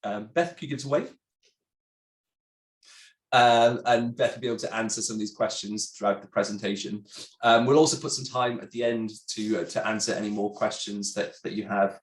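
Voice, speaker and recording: A congested man with a chatty, halting manner, a sales director presenting a webinar. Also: on the low side, fairly even intonation. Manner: chatty, many ums and restarts. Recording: roomy echo.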